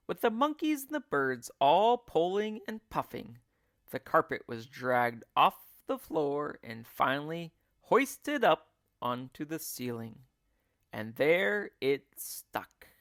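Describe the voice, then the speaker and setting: Animated, unhurried male storybook narrator. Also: on the high side, no room sound, studio quality. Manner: expressive, deliberate storytelling. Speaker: teacher reading aloud. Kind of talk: children's storybook narration, scripted read-along.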